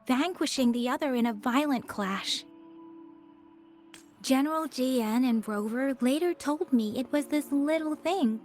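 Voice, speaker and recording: An actress playing a calm young woman in a game who explains things in a measured, unhurried way. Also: high voice. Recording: game dialogue with soft music.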